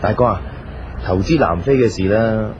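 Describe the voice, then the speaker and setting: Man acting a measured second brother, muffled recording. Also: on the low side, a little hiss or hum, close to an everyday voice. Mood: hesitant. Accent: Hong Kong Cantonese. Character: calm, modest second brother in a business family. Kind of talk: Cantonese radio drama acting.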